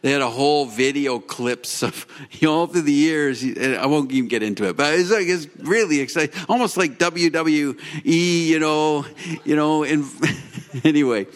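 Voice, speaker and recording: A man's voice: a little high, normal speed, hardly any pauses. A man hosting a church gathering, introducing guests in a chatty, rambling way with laughing asides.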